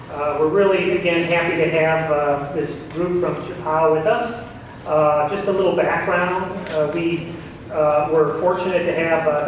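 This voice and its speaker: A man introducing a guest speaker at a seminar, speaking hesitantly and partly reading from notes. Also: fairly high pitch. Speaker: event host introducing a speaker. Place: large room at a community seminar. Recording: very echoey room, distant mic, faint noise.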